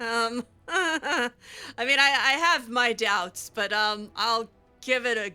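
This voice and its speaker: Bright-voiced, slow, sardonic woman gamer. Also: a little high, fairly even intonation, clear, resonant tone, faint music behind. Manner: dry, sardonic, hesitant. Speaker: player role-playing a game heroine. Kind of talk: improvised in-character gameplay dialogue.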